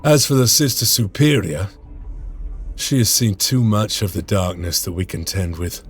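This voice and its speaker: A man playing a grave, weary space marine commander in a game, solemn, measured and formal. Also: lowish voice, soft background music, close to an everyday voice. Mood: wary, calculating. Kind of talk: dramatic scripted game acting.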